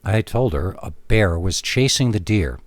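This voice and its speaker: A man reading a scary story in the first person as a dramatic narrator, with theatrical, emphatic delivery and deliberate pauses. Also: sits low, expressive, dry recording.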